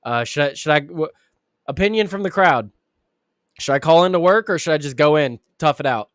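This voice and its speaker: A man hosting a live commentary show who sounds raspy and under the weather, rambling with frequent restarts and fillers. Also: lively intonation, a little fast, a bit bright, no echo, clean studio sound. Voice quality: raspy, deeper than usual. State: sick, clearing throat and coughing. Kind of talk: casual live-stream commentary.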